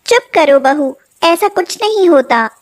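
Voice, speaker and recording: An exasperated middle-aged mother-in-law performed by a woman narrator. Her voice is high and deliberate, full of puzzled questions and household scolding in a family storybook style. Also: animated. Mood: annoyed. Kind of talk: animated moral story acting.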